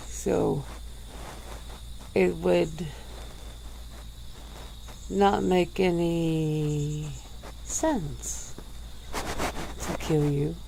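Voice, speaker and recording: A woman voicing a centuries-old female vampire who speaks slowly and softly, with breathy little laughs, in an ASMR roleplay. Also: sits low, slight background noise, full character voice, poor audio. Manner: slow, soft-spoken, with breathy little laughs.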